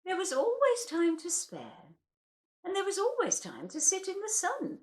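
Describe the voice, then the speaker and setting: Highly expressive woman reading poetry. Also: normal speed. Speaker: host and reader of poetry. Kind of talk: expressive poetry recital with casual introductions.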